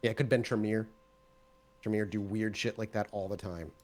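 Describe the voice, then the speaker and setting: A man's voice: fairly low pitch, steady tone, a little fast, darkish tone. A man narrating a tabletop roleplaying game as game master, describing scenes directly to the players.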